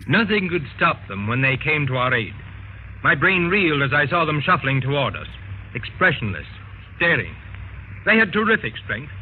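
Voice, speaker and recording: Grave scholarly investigator, thin old radio. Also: male voice, a little high, phone-quality sound, slight background noise. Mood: haunted, grave. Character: grave, learned male investigator recounting horrors. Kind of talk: old-time radio drama acting.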